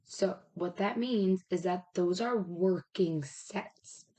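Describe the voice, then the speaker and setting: A woman with a raspy voice that is giving out, talking casually as a fitness coach and explaining step by step in an informal, rambling way. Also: fairly low pitch, slow, mellow. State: raspy, losing her voice.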